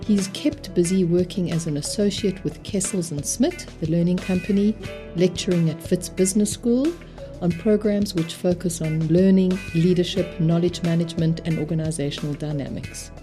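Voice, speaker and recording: A woman hosts a podcast from a studio, reading her introduction in a polished, welcoming way. Her voice sits slightly low for a woman. Plucked guitar music plays clearly under her. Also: moderate pace, mellow. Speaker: podcast host. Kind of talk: scripted introduction and interview.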